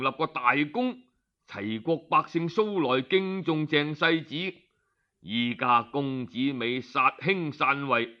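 A man with a Cantonese accent voicing a shrewd old minister in a story, knowing, deliberate and rhetorical. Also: on the high side, highs cut off.

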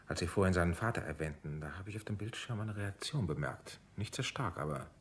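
An actor playing a measured, cautioning military major in a German radio drama, formal and clipped in his disapproval. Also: low-pitched, animated, a bit bright, a little hiss or hum. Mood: reproachful. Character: measured, cautioning military major running drug interrogation equipment.

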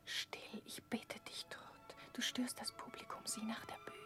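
An actress playing a gentle, earnest, caring young woman with a soft voice in a 1960s radio drama. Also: very high voice, wildly swooping pitch, unhurried, in a whisper, over music, exaggerated voice. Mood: hushed, urgent. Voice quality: soft, breathy.

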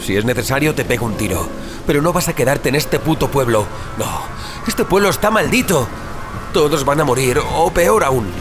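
A man's voice: medium pitch, expressive, moderate pace, close to an everyday voice. A man with an accent from Spain playing a desperate, protective, doom-obsessed brother, urgent and pleading, in an audio drama. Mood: grim, pleading. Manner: urgent, pleading, foul-mouthed. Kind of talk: Spanish audio fiction acting. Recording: dramatic music bed under the voice.

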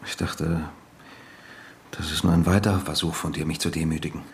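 An actor playing a wounded, bitter husband returned after years away, speaking haltingly and with restraint in a radio drama. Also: male voice, extremely low pitch, flat delivery, a little hiss or hum. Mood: hurt, subdued. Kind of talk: naturalistic radio drama dialogue.

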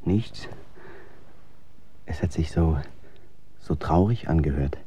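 An actor playing a tired, gently persistent young man in a radio drama, earnest and coaxing. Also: extremely low pitch, slow pace. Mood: quiet, earnest. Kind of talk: German radio drama acting. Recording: clearly noisy background ambience.

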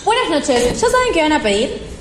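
A woman playing a brisk waitress in an amateur radio play. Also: high voice, big pitch swings, background noise. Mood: brisk, obliging. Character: brisk waitress in a small neighbourhood bar. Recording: echoey room with kitchen clatter.

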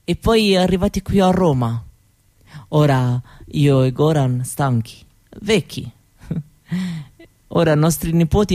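A woman playing an old Roma grandmother in a radio monologue, gentle and wistful, speaking halting broken Italian with a Romani accent in short phrases with pauses. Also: sits low, dry recording, studio quality, heavily acted. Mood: fond reminiscing. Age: older.

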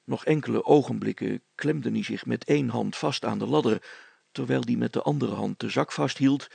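A man's voice: medium pitch, vocal fry, darkish tone, slightly rough, no room sound. A man reading a children's story as its narrator, in scripted storytelling style.

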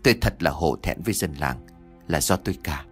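A male storyteller acts an older, conscientious village chief. He speaks low and plainly, with heartfelt earnestness. Faint music runs underneath. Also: big pitch swings, brisk. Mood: remorseful.

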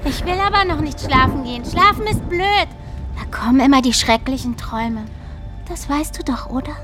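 An eerie younger sister in a radio drama, voiced by a woman, with a fairly high, childlike voice. She is needy and quietly accusing, insistent and prone to slipping into whispers. Music plays clearly behind her. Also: normal speed, close to an everyday voice. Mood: childish, petulant.

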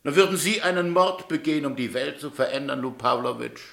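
An actor playing a proud, unbending middle-aged Tsarist governor in a German radio drama, speaking in a formal, emphatic way. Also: male voice, mid-range voice, fairly even intonation, a little fast, creaky, a bit bright, roomy sound. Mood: scornful.

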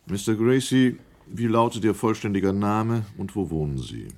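A man's voice: fairly low pitch, animated, moderate pace, a little mellow. A man playing a formal, courteous committee chairman who questions witnesses in a documentary radio drama.

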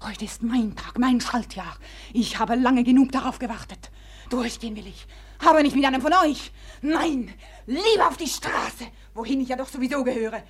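An actress playing a proud, scornful, reckless society beauty in a theatrical, commanding manner in a radio play. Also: female voice, a little high, moderate pace, slightly rough. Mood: reckless, intoxicated. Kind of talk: German radio play acting.

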